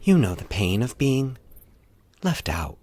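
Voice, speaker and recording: Horror audio story: predatory monster woman, played by a man, cooing and hissing, lifted pitch, swooping intonation. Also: sing-song, slow pace, darkish tone. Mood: eager, pleading. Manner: cooing, hissing, teasing menace. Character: predatory woman, coy, sinister, with monstrous sharp teeth.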